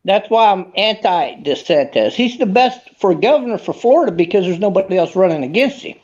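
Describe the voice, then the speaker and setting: A man's voice: sits high, animated, a little fast, darkish tone, lo-fi sound. A man on a remote call ranting comically about politics, rambling and stumbling over his words. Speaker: guest. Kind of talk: comedic political rant.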